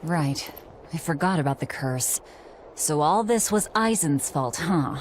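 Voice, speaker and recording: A woman's voice: sits low, animated, measured pace, slight background noise. An actress voicing a curt, irritable, stern young woman warrior in a video game, with a blunt, impatient manner. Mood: deadpan. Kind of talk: English-dub video game acting. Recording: music behind.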